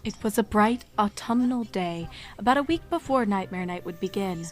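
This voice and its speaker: A woman's voice: mid-range voice, lively intonation, moderate pace. A woman narrator reading a scripted story in storybook style.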